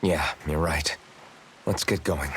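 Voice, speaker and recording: Very low-voiced brooding young hero. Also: male voice, fairly bright tone, slight background noise, exaggerated voice. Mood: understanding. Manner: subdued, reflective, halting. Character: brooding young male hero, self-doubting, regained lost memory. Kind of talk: English video game dub, dramatic cutscene dialogue.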